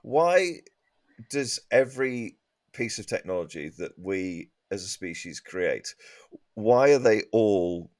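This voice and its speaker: A man, a comedy podcast guest, riffing in a halting, mock-exasperated manner in casual panel chat. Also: fairly low pitch, lively intonation, darkish tone, no room sound, studio quality.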